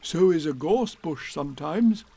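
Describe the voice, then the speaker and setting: A man voicing a simple, gentle small male teddy bear who whispers slow, puzzled questions. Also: on the high side, lively intonation, gravelly, husky, voice pushed up, heavily acted.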